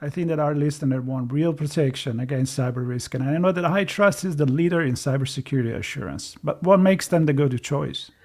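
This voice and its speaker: A man's voice: on the high side, brisk, few pauses, a little mellow. A man co-presenting a scripted, conversational sponsor spot on a podcast.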